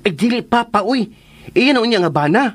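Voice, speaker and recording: Radio drama role: nosy, kindly, folksy Filipino uncle, lively swooping pitch, dry close sound. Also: male voice, moderate pace, dry recording. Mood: chiding.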